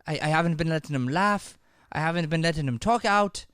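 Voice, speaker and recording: A man's voice: fairly high pitch, fairly quick, fairly bright tone, clear, resonant tone, dry recording, studio quality, close to an everyday voice. A man playing a flustered, scolding Irish schoolteacher in improvised radio comedy, repeating himself and tripping over his words. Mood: defensive. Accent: Irish, put on. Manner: stammering, repetitive, exasperated. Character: flustered, scolding male schoolteacher, defensive and obsequious.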